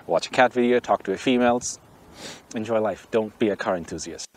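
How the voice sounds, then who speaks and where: A man's voice: fairly low pitch, a little fast. A man from Bangladesh hosting a casual, joking car review vlog outdoors, with wind around him. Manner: chatty, joking, opinionated. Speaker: car channel host. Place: outdoors by a vehicle, windy. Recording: faint wind and outdoor noise. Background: wind and outdoor noise.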